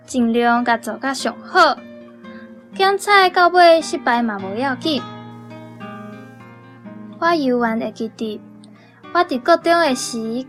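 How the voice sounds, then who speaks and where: A young woman with a Taiwanese accent reads a reflective personal essay. Her voice sits fairly high and she takes her time, with a very slow, measured pace. Music plays softly behind her. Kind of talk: reading a personal essay aloud.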